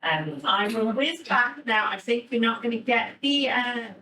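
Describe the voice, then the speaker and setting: A woman's voice: on the high side, lively intonation, a little slow, bright, forceful tone. Woman doing a talk, kinda hesitant and chatty, roomy echo, mic far away. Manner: conversational, hesitant, thinking aloud. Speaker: theatre director giving a talk. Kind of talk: informal presentation to an audience. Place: echoey room, speaking to an audience. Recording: distant microphone in an echoey room.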